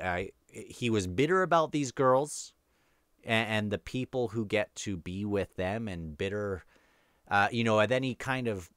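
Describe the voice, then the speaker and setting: A man giving casual read-along book commentary, halting and thinking aloud as he moves between quotes and his own remarks. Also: low-pitched, clean tone, no room sound, studio quality. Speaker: book discussion host.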